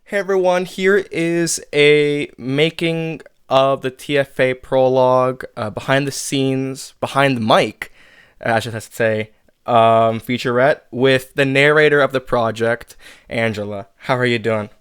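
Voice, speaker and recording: A man hosting a casual interview in a chatty, somewhat halting manner. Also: medium pitch, fairly even intonation, clear, resonant tone, no room sound, clean studio sound. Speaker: host and creator of an audio drama.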